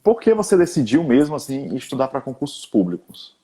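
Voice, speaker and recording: A man's voice: medium pitch, big pitch swings, a little fast, dark tone, breathy edge. A man hosting an online interview, chatty and friendly, often adding tag questions. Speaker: host, exam-prep teacher.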